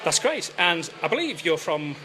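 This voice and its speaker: A man's voice: fairly high pitch, big pitch swings, moderate pace, noisy background. A man, a radio interviewer, asking polite, brief questions and filling gaps with short acknowledgements. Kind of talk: casual interview chat. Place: backstage at a music venue.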